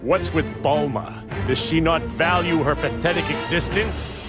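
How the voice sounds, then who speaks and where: A man's voice: a little high, measured pace, lightly acted. An actor, a man, voicing an arrogant, contemptuous monster villain in an anime game, sneering and theatrical. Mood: sneering, scheming. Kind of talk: anime video game voice acting. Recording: loud music under the voice.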